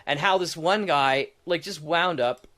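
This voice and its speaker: A man's voice: a little high, unhurried, pushed and bright. A man chatting casually about game design as a podcast co-host, rambling and thinking aloud as he goes.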